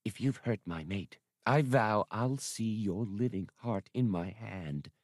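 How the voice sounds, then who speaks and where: A man's voice: lowish voice, slow pace, no echo, clean studio sound. A man voicing a proud, effusive female alien mistress of ceremonies with formal, theatrical speech in an audiobook. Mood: vengeful.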